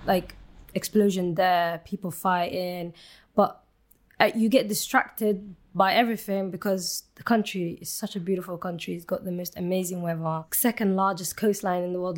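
A young woman from Somalia, a football referee and coach, chatting eagerly in a podcast interview with lots of "like"s and quick restarts. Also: mid-range voice. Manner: eager, chatty, rambling with many "like"s. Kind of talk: casual interview chat.

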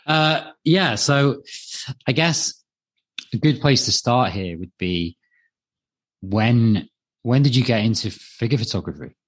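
A man's voice: medium pitch, lively intonation, slow, long pauses, poor audio. A man in his late thirties co-hosting a podcast, chatting casually in a hesitant, self-deprecating way that often trails off. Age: late 30s. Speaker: podcast co-host. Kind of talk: casual chat.

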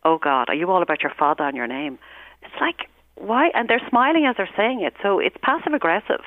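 A woman with an Irish accent, a guest on the show, talking earnestly and personally, often restarting her sentences and jumping up in pitch when she quotes other people. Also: expressive, a little fast. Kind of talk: animated personal conversation. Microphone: phone. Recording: tinny phone line, dry.

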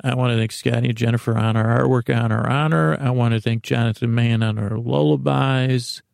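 A man's voice: medium pitch, steady tone, brisk, vocal fry, a little mellow, dry recording, studio quality. A man hosting a sleep podcast, rambling through a meandering bedtime monologue and stumbling over words on purpose. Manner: rambling, self-interrupting, botches words on purpose.

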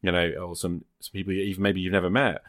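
A man's voice: deep voice, flat delivery, fast, a bit bright, no room sound, clean studio sound. A man hosting a podcast in casual chat, rambling encouragingly as he thinks aloud. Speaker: podcast host.

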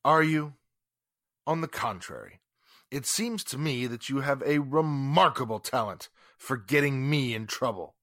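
A man's voice: medium pitch, animated, unhurried, fairly bright tone, no room sound, studio quality. An actor playing a haughty, sarcastic, indignant businessman in 1940s radio drama style. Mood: coolly sarcastic. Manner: pompous, formal, sarcastic. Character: haughty, indignant wealthy businessman under police questioning.

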